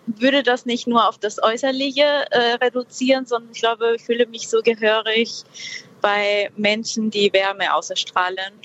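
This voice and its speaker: A young woman talks slowly and reflectively, searching for her words with frequent fillers. Her somewhat high voice comes through dull, lo-fi video call audio. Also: little pitch movement, fairly bright tone. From Peru. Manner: reflective, slow, hesitant with many fillers. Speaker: interviewee. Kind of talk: casual conversation.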